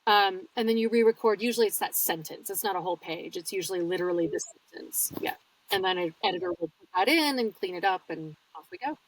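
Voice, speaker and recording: A woman's voice: medium pitch, animated, fast talker, recorded from a distance, slight background noise, poor audio. A woman audiobook narrator, talking casually and explaining her work in an interview, laughing easily. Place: home studio.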